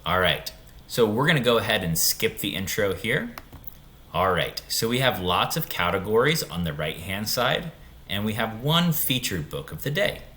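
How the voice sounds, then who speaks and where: A man's voice: on the low side, very animated, moderate pace, pushed and bright. A man giving an informal step-by-step screen tutorial for young students. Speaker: school tech tutorial presenter.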